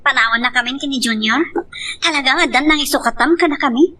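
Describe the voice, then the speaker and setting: A woman's voice: sits high, fast talker, pushed and bright. A woman with an Ilocano accent playing an inquisitive, bossy household woman in an audio drama. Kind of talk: Ilocano radio-style drama acting. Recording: distant mic with noisy ambience.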